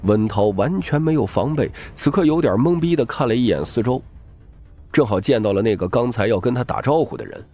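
A man's voice: medium pitch, lively intonation, a little fast, mellow. A man giving dramatic scripted narration of a crime novel as an audiobook narrator. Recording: music bed behind the voice.

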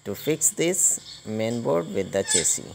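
A man hosting a hands-on electronics repair tutorial, explaining step by step in a halting manner. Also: medium pitch, lively intonation. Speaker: host of electronics repair channel. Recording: clearly noisy recording with clicking.

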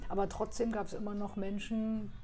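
A woman in her forties from Berlin asks questions and shares her own memories. She speaks hesitantly, with many fillers, in a mellow, soft tone. She is recorded on a lapel mic in a quiet room. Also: medium pitch, flat delivery, normal speed. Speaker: interviewer, host. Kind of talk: conversational interview. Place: cafe-like room indoors.

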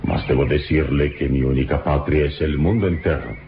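An actor playing a noble, worldly adventurer in an old radio serial, measured, suave and grandiloquent. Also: male voice, rumbling low, steady tone, noisy background. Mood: gallant, philosophical. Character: noble, worldly male adventurer hero, calm and gallant. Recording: muffled old radio recording with music.

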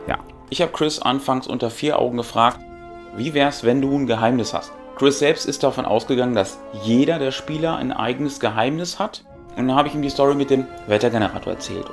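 A middle-aged man with a creaky, gravelly voice who is a little sick and tired. He talks as a game master recapping a session in a casual, colloquial way, pausing for effect. Music plays under his voice. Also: mid-range voice. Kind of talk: casual recap of a tabletop role-playing game to camera. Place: small furnished room with brick wall.

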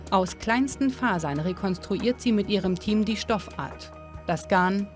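Woman narrating a documentary over music. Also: mid-range voice. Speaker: documentary presenter.

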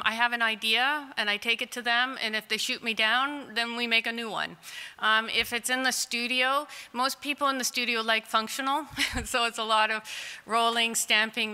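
A woman in her forties, a clay artist, answering audience questions in a chatty, candid way with a loud voice and frequent laughs. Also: mid-range voice, clear, resonant tone. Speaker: clay artist in long-term care. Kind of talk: conference Q&A answers. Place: conference hall. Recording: distant in a large reverberant hall.

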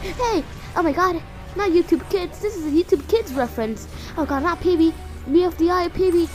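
A woman voicing an excitable, bratty young stick-figure son in a comedic amateur cartoon fan dub, with repetitive, sweary slang. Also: very high-pitched, moderate pace, faint music behind. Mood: thrilled.

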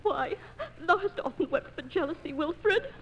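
A woman playing a sharp-tongued, tearful young maiden in 1940s radio operetta. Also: very high voice, expressive, moderate pace, dull audio. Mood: agitated. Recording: old 1940s radio transcription disc, music.

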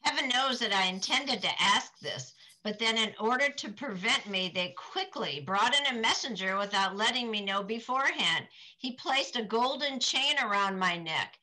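A woman's voice: a little high, expressive, moderate pace, hardly any pauses, sharp tone, poor audio. A woman in her fifties playing a dutiful, distressed young betrothed daughter, earnest and formal, in a play read over video call. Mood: troubled, earnest. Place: small home room, video call.

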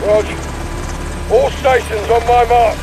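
Slow, commanding military captain. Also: male voice, very high-pitched, fairly even intonation, background noise. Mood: steady, commanding. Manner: clipped radio commands. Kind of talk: cinematic game dialogue.

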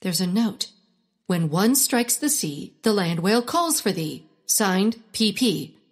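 Woman as a husky, anxious sleuth. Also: medium pitch, big pitch swings, slow, exaggerated voice. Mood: worried, dry. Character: anxious, practical amateur sleuth.

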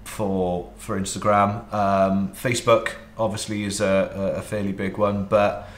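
A Welsh man in his thirties, a wedding videographer, answering interview questions in a relaxed way with frequent ums. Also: lowish voice, a little slow, echoey room. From Wales. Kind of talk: casual interview. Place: home studio office, indoors.

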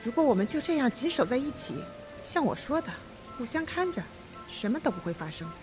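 A woman playing a composed, authoritative judge in a Mandarin radio mystery, speaking in a measured, firm manner. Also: sits high, big pitch swings, over music. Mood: calm, commanding.

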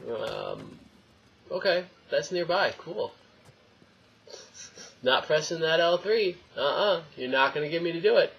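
A man's voice: a little high, huge pitch swings, measured pace, vocal fry, long pauses. A young man giving casual, jokey let's play commentary, rambling to himself and the game as he plays. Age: young adult. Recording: dull lo-fi mic, faint noise.

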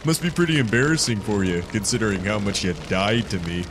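A man's voice: lowish voice, expressive, fairly quick, very noisy, natural voice. A man playing a sardonic, grimly resigned guardian in a dramatic fan dub, teasing as he goes. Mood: grimly defiant. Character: wry, taunting male guardian, grimly resigned, loves stalling. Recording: loud music behind the voice.

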